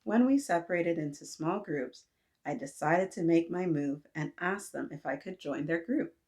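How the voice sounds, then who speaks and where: Lady in her 30s reading a kids book, low voice, keeps asking "right?", small room. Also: lively intonation, normal speed. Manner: gentle, asks kids to reflect, frequent "right?". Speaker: children's book reader. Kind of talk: read-aloud storytelling for kids. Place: indoors, small room with painted mural.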